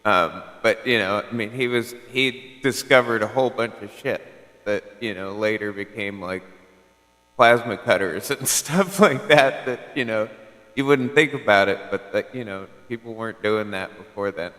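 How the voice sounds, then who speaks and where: Man on a cb radio chat, rambling, bright tense voice, long reverb. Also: on the low side, moderate pace. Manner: rambling, heavy on "like" and "you know". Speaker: CB radio caller.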